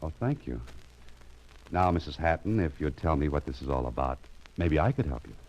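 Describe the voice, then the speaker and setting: An actor playing a wry, cool-headed private investigator in 1940s radio style, with a sardonic way of talking. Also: male voice, a bass voice, animated, normal speed, darkish tone, exaggerated voice. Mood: wry, puzzled. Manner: sardonic, talks to himself in narrated asides. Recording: dull vintage radio recording, music behind.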